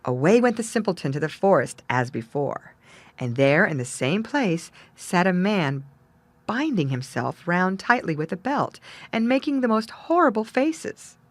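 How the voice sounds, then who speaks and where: A woman's voice: lowish voice, sing-song, a little slow, dry recording. A woman reading a fairy tale as a storyteller, in scripted narration.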